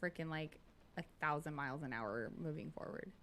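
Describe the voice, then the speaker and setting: A woman's voice: sits low, fairly quick, a bit bright, a little hiss or hum. A woman in her forties chatting casually and candidly as a podcast co-host, swearing freely. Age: 40s. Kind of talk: casual chat.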